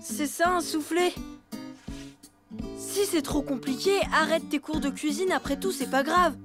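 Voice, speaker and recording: A woman's voice: high-pitched, measured pace. A woman voicing a reluctant, scheming young boy elephant in a children's cartoon, boyish in manner. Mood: objecting, determined. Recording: music under the voice.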